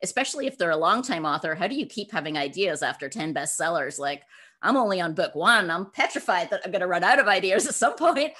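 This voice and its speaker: A woman speaking as a guest author in an interview, informal and emphatic, with animated conversational answers. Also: on the low side, quick pace. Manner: emphatic, informal, acts out imagined dialogue.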